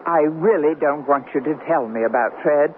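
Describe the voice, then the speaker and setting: An actress playing a polite, protective married woman with a quiet tartness and a husky voice, in an American radio comedy. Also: deep voice, normal speed, slight background noise, natural voice. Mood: polite, guarded. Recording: muffled band-limited radio recording.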